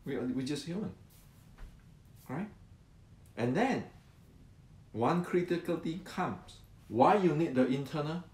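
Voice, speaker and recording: A man's voice: fairly high pitch, lively intonation, slow, pauses often, a little mellow. A man in his sixties explaining martial arts to viewers, often repeating words and answering his own questions. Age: 60s. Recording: roomy echo.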